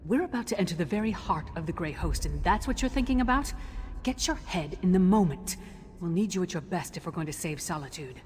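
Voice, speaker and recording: A woman's voice: on the low side, expressive, brisk, a little mellow, lightly acted. A woman playing a battle-hardened, commanding warrior companion in a video game, speaking bluntly and brusquely. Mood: stern. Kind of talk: video game character acting. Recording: cavernous long reverb, background noise.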